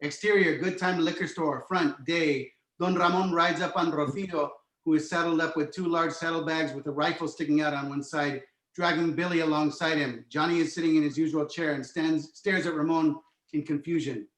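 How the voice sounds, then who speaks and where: A man's voice: on the high side, fairly even intonation, moderate pace, fairly bright tone. A man reading stage directions as the narrator of a screenplay table read. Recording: roomy, echoing home recording.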